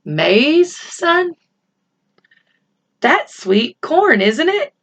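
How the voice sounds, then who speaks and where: A woman's voice: high-pitched, very animated, extremely slow, a bit bright, voice pushed up, heavily acted. A woman voicing a helpful, questioning shopkeeper in a storybook, drawing out the words. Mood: puzzled, helpful. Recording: slightly dull, lo-fi home recording.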